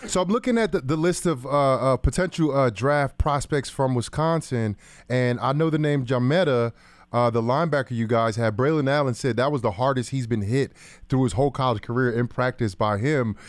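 A man talking football casually and a little haltingly as co-host, close on a studio microphone. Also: medium pitch, dry recording. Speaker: sports show co-host, former player. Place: small podcast studio. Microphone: boom-arm studio microphone, close.

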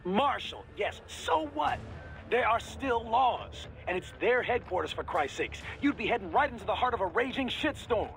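An actor playing an exasperated, well-informed American military support operative in a video game cutscene. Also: male voice, high voice, big pitch swings, vocal fry, a bit bright, heavily acted, poor audio. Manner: brisk briefing, sardonic, exasperated. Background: game music.

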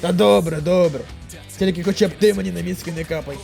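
Gravelly, hot-headed young thug acted by a man. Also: sits high, quick pace, a little mellow, soft background music. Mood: taunting, grudging. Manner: taunting, aggressive. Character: hot-headed vengeful young male thug, comic-book antagonist. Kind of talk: comic dub acting.

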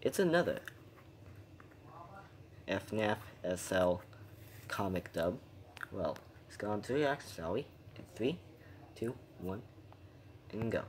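Low-voiced young man reacting to videos. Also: sing-song, slow pace, long pauses, slight background noise. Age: young adult. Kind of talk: casual reaction commentary. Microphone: phone at arm's length.

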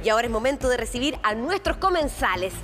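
A woman's voice: on the high side, sing-song, fast talker. A woman in her thirties with a Chilean accent, presenting a competition in an emphatic, projected style. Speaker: TV competition host. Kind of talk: presenting announcements. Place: large TV cooking studio. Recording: loud music behind the voice.

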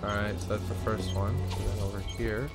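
A man's voice: fairly low pitch, level tone, brisk. A man giving casual, joking and sarcastic playthrough commentary as a gaming streamer. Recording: game music behind the voice.

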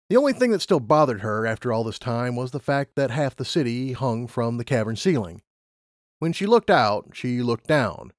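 A man's voice: mid-range voice, no echo, clean studio sound. A man reading a scripted story as an audiobook narrator.

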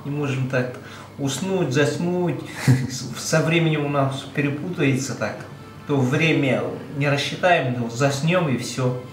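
A man's voice: medium pitch, measured pace, soft background music. A man, chatting casually on camera in a small kitchen, plain-spoken and chuckling often. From Yakutia. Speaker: truck driver on a road trip. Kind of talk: casual vlog narration. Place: small rented apartment kitchen, indoors. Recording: echoey room with steady noise.

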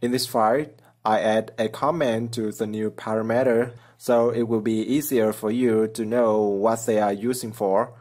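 A man's voice: fairly low pitch, fairly even intonation, moderate pace, darkish tone. Man narrating a coding tutorial plainly. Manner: plain step-by-step explaining, simple non-native phrasing. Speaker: programming tutorial channel host. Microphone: voice-over over screen recording.

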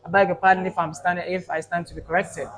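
A man's voice: sits high, flat delivery, quick pace, fairly bright tone, poor audio. A young man interviewing a guest at an indoor mall event on a handheld mic, polite and formal, backing the guest with frequent "Right".

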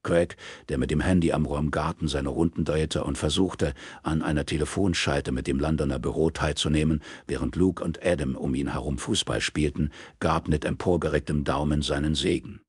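Deep-voiced male audiobook narrator. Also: steady tone, moderate pace, hardly any pauses, husky, no echo. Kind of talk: scripted literary narration.

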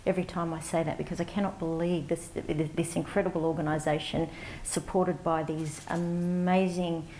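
Middle-aged woman from Central Australia, soft and low, reflective storyteller, stage mic with faint room hiss. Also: flat delivery, low-quality recording. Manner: warm, reflective, halting with fillers and restarts. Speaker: panelist, CEO of an advocacy organisation. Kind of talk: storytelling conference talk. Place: conference stage before a large audience, indoors.

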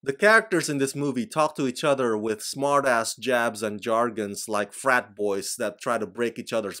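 Blunt Indonesian man reviewing a film. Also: mid-range voice. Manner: blunt, emphatic, animated delivery. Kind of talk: scripted movie review to camera. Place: small room indoors. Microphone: desk microphone in front of him.

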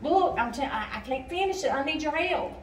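High-voiced woman storyteller in an echoey church. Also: animated, fairly quick. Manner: simple, repetitive coaching with call-and-response. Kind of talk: interactive Bible storytelling to children. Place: church sanctuary stage, indoors. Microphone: recorded by a distant audience camera. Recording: distant, echoey church hall, noisy room. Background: children and room noise.

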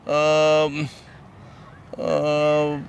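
A man's voice: fairly high pitch, monotone, very slow, vocal fry, a little hiss or hum. A middle-aged man being interviewed outdoors in a park, hesitant with many fillers. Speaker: passer-by interviewee. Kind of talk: casual street interview. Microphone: handheld mic held close.

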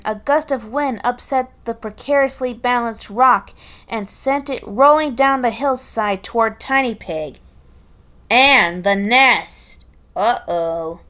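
A woman reading a children's story aloud in an expressive, halting way, with casual chatty asides. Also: a little high. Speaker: storybook reader.

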